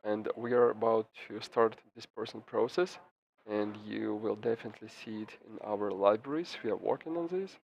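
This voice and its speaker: Male simultaneous interpreter, mid pitch, stop-start delivery, slightly dull sound, faint hiss. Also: vocal fry. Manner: halting, frequent restarts and fillers. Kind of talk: live interpretation of a press briefing. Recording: slightly muffled with faint background noise.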